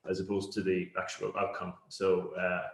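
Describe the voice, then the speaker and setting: Middle-aged Cork Irish man as interview guest, lowish voice, reflective and halting delivery, roomy echoing sound. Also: completely flat delivery, gravelly. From Cork, Ireland. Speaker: interview guest, company founder. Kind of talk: conversational interview answers.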